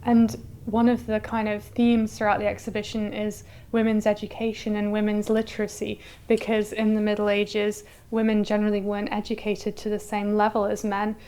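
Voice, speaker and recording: Smooth, even-toned woman explaining. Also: medium pitch. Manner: articulate, explanatory. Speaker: exhibition curator being interviewed. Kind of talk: informative interview answers.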